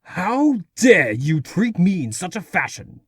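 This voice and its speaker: A man's voice: high-pitched, big pitch swings, takes it slowly, breathy edge, no echo, exaggerated voice. A man playing a haughty, indignant aristocratic villain in a comic dub.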